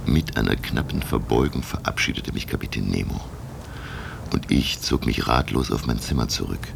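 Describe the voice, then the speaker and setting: A man playing a scholarly narrator who recounts a sea voyage in a measured, literary way for a radio drama. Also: animated, noisy background, full character voice. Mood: uneasy recounting. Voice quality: very deep, gravelly. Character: Professor Aronnax, older scholarly narrator recalling his sea voyage. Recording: radio drama with loud music behind. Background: music.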